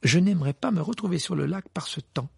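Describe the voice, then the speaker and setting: A man's voice: a little high, fast talker, gravelly, darkish tone, breathy edge, no echo, studio quality, voice pushed up, exaggerated voice. A man voicing a stern, clever teenage witch who speaks curtly and reproachfully. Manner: curt, reproachful.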